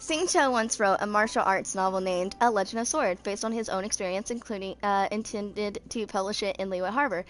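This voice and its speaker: A woman's voice: mid-range voice, a little fast, few pauses. A woman in her twenties reading story lore aloud in an amateur narration, stumbling now and then over words. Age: 20s. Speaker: video creator reading game character lore.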